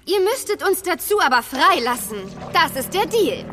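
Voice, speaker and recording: A woman playing a clever, confident young girl adventurer in a children's audio drama, brisk and self-assured. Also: very high voice, sing-song, over music.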